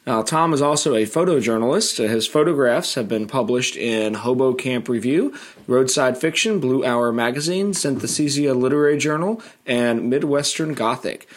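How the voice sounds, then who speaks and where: A man's voice: medium pitch, normal speed. A middle-aged American man hosting an educational interview, chatty, with fillers and restarts. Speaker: podcast host.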